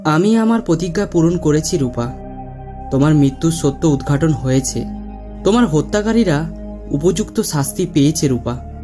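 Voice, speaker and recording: A man playing an earnest, brave man who speaks gently and solemnly in a cartoon horror story. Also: a little high, lively intonation, normal speed, natural voice. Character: earnest, brave man promising a ghost justice. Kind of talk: dramatic audio story dialogue. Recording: long reverb, music behind.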